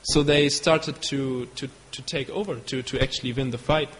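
A man's voice: mid-range voice, steady tone, fairly bright tone. German-accented man telling a story in a hall. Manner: halting, searching for English words. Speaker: devotee giving a talk. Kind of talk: storytelling lecture. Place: hall in Belgium. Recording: long hall reverb with faint ambience.

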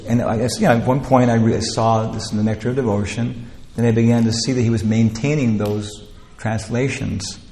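Gravelly man lecturing in a roomy hall. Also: mid-range voice, steady tone, normal speed, few pauses, warm tone. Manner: rambling, many "you know" fillers and restarts. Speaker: teacher leading a scripture class. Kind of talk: informal spiritual lecture. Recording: roomy hall with long echo, faint ambience.

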